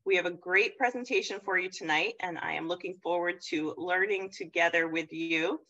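A woman's voice: on the low side, normal speed, bright, forceful tone. A woman with a cold, losing her voice, opening a webinar as its moderator over a video call. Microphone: video call webcam. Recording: dull lo-fi webinar audio.

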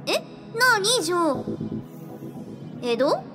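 A woman's voice: very high voice, sing-song, slow, full character voice. A woman acting a coy, bubbly, girlish female spirit in a radio drama, cutesy and sweetly wheedling. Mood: chatty, explaining. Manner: cutesy, speaks of herself by name. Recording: music behind the voice.

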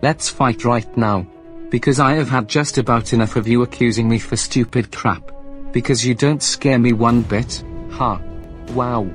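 Man doing cocky cursing villain, mid-pitched, rude and taunting, music underneath. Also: moderate pace, lightly acted. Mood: threatening. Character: foul-mouthed arrogant evil villain man. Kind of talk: amateur voice drama.

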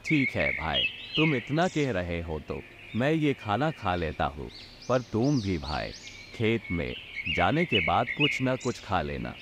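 A man playing a poor, hungry, meek man in an animated moral story, in a plaintive, hesitant way. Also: sits low, measured pace, full character voice. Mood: grateful. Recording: loud noise behind the voice.

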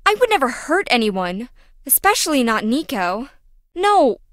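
An actress playing a quirky, excitable young lab engineer in a video game, chatty, blunt and wry. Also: female voice, high voice, a little slow, no echo, studio quality, exaggerated voice. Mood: defensive, upset. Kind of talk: video game character voice acting.

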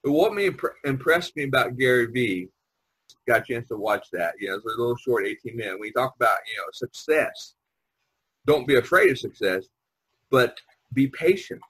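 Animated, husky male podcast host. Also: medium pitch, normal speed, rough recording. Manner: jokey, informal, acts out quotes. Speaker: podcast host and editor, artist. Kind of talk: casual chat. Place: online video conference call. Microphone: video call.

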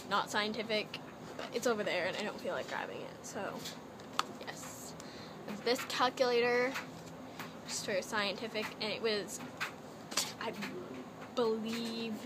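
A young woman from Canada, chatting and rambling through a haul video in a playful put-on accent, recorded on a laptop webcam in her bedroom. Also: a little high. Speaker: vlogger. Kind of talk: casual haul video chat. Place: small cluttered bedroom, indoors. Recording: noisy laptop webcam audio.

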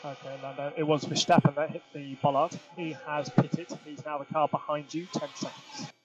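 A man's voice: a little high, little pitch movement, moderate pace, dull audio, over music. A man working as a race engineer, giving clipped, procedural instructions to a driver over a team radio link. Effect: team radio filter. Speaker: Formula One race engineer. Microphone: radio headset. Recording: noisy motorsport radio link.

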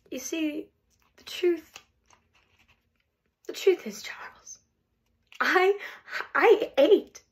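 A woman's voice: sits high, creaky, heavily acted. Woman playing a tearful, unraveling wife. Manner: swings between hushed whispers and loud outbursts. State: near tears. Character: heartbroken wife refusing a divorce, erratic. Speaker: actress performing a monologue. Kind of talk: comic stage monologue.

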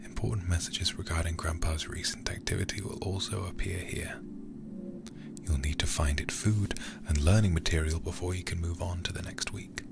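A man streaming in a hushed ASMR style, reading horror game text deliberately into a close microphone. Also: low voice, steady tone, gravelly, heavy background noise.

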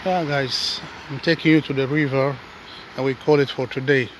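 A man, not a native English speaker, talking casually to his viewers while walking outdoors and filming with his phone, often calling them guys and dropping to soft asides. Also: mid-range voice, steady tone, normal speed, a little hiss or hum. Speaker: vlogger. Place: outdoors on a suburban footpath.

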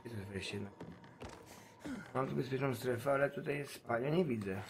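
A man's voice: medium pitch, normal speed. Blunt man streaming a game. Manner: blunt, sweary, self-deprecating. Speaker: gaming streamer. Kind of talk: casual live gameplay commentary. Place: indoor home streaming room. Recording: faint background noise from game. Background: faint game ambience.

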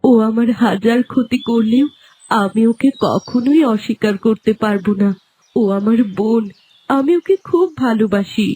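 Gentle high-voiced young princess. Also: female voice, moderate pace, slightly rough, poor audio. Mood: tender sorrow. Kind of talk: cartoon fairy-tale voice acting. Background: background music.

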